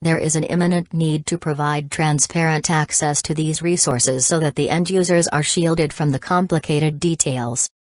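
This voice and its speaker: Female exam-practice reader, low voice, dry close sound, scripted delivery. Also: steady tone, moderate pace, few pauses, no echo. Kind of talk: scripted read-aloud.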